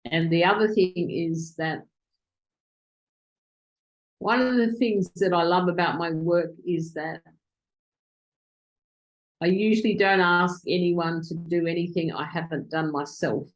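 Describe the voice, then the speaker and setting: Excitable woman interviewing on a video call. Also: fairly low pitch, rough recording. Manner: excitable, talks fast when excited. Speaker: client interviewing virtual assistants.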